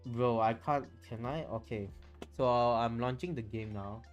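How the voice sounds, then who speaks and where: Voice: medium pitch, a little slow, highs cut off. A livestreamer with a Malaysian accent, chatting casually in a rambling way and often restarting phrases. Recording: music playing behind the voice. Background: background music.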